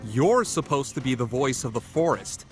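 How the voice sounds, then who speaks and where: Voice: medium pitch, expressive, normal speed, soft background music, lightly acted. An actor playing a teasing, easygoing boy god in an audio drama, with a sardonic, casual manner. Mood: coaxing. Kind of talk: audio drama character acting.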